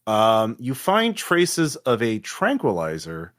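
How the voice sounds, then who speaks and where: A man running a tabletop horror game, narrating off the cuff and haltingly as he thinks aloud. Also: medium pitch, wildly swooping pitch. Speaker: game master.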